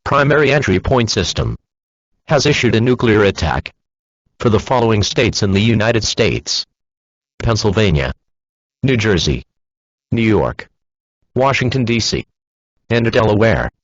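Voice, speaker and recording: A man's voice: on the low side, big pitch swings, unhurried, pauses often, dry recording. A man's synthetic text-to-speech voice reading a scripted emergency broadcast announcement in a formal, instructional manner. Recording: dull lo-fi emergency broadcast audio.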